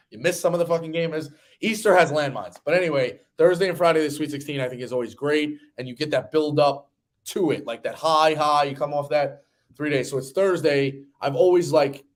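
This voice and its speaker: Higher-pitched man talking sports casually. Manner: rambling, conversational, occasional swearing. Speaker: sports betting show host.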